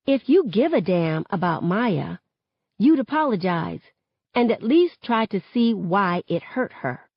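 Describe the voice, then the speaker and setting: A woman's voice: mid-range voice, very animated, unhurried, slightly rough, no room sound, lo-fi sound, heavily acted. A woman voicing a hurt, fiery teenage girl in an audiobook, with an emotional, impassioned delivery. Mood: controlled, firm.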